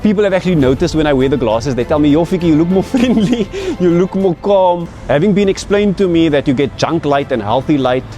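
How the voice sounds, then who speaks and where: A man's voice: a little high, expressive, a little fast, hardly any pauses, dark tone, faint music behind. A content creator giving a fluent, confident testimonial about his work and routine. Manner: fluent, confident self-presentation. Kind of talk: testimonial interview.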